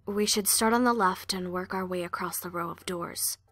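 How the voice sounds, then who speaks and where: A woman's voice: fairly low pitch, normal speed, fairly bright tone, no room sound, faint music behind. A young woman amateur voice actor rambling casually between takes, saying "like" constantly.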